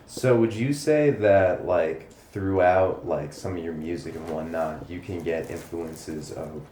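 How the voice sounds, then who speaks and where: Low-voiced guy interviewing, echoey room. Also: a little slow, dark tone. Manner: slangy, laid-back agreement. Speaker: interviewer for a music channel. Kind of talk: casual chat interview. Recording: roomy echo, faint background noise.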